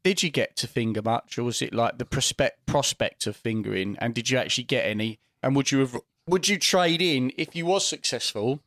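A man's voice: medium pitch, a little fast, hardly any pauses, fairly bright tone, dry recording, clean studio sound. A man, co-hosting a podcast, full of banter and swearing, calling his friend mate. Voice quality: banter-heavy, sweary, calls people mate. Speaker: podcast co-host. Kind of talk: casual chat.

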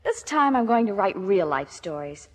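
An actress playing an earnest, lofty American teenage daughter with literary ambitions in a 1950s radio comedy. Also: female voice, medium pitch, very animated, moderate pace. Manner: lofty, earnest, self-important. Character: earnest, melodramatic teenage daughter, aspiring writer. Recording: old radio recording with hall reverb.

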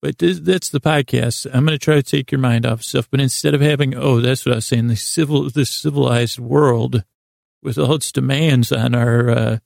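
A man's voice: mid-range voice, fairly even intonation, normal speed, creaky, darkish tone, no echo, clean studio sound. A man hosting a podcast as a rambling bedtime monologue, meandering off on mildly silly, self-deprecating tangents. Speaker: podcast host.

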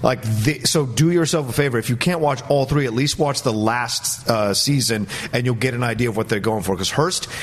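A man talks as a panelist on a movie news show, with a medium-pitched voice. He gives his opinions with open enthusiasm and leans on his points emphatically. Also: normal speed, few pauses.

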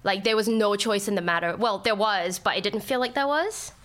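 A woman's voice: mid-range voice, fairly quick, fairly bright tone. A woman in her thirties from Thailand with an international school accent, chatting reflectively as a podcast guest. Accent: international school English. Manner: chatty, reflective, many fillers. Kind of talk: casual interview.

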